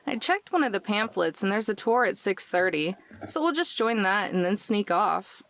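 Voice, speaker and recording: A woman's voice: medium pitch, animated, moderate pace, a bit bright. A woman voicing a laid-back, dry, unbothered young woman in a visual novel game. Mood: casual, unbothered.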